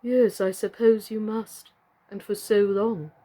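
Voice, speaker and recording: A woman acts out an anxious little gentleman doll from a children's storybook. He is earnest and wistful, with a slightly trembling, sentimental delivery. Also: medium pitch, steady tone, slow, low-quality recording.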